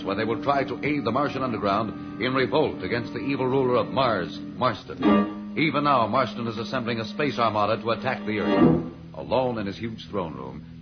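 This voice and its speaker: A man narrating a radio serial as announcer in a grave, theatrical, declamatory old-time style. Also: mid-range voice. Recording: muffled vintage radio recording, loud music.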